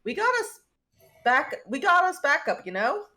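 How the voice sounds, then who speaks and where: A woman's voice: high voice, very animated, normal speed, sharp tone, exaggerated voice. A woman playing a pushy, hands-on spaceship engineer in a sci-fi script table read. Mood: insistent.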